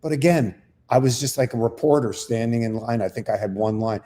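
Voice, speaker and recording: A man's voice: mid-range voice, fairly quick, dark tone. An older man, a comedian co-hosting, telling rambling, self-deprecating stories in casual chat. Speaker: comedian co-host.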